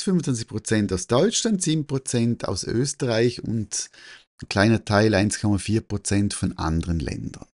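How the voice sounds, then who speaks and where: A man with an Austrian-Swiss German accent talking informally and presenting survey results, halting and correcting himself as he thinks aloud. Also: lowish voice, a little mellow. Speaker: photography teacher and newsletter host.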